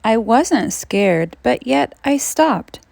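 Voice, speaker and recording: A woman with a high voice reads a children's storybook in a very animated way. She stretches words for suspense and leans into dramatic emphasis, taking her time. The recording is close and dry. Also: gravelly, no echo. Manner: dramatic, drawn-out emphasis with big swoops for suspense. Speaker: teacher reading a storybook aloud. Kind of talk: expressive children's read-aloud.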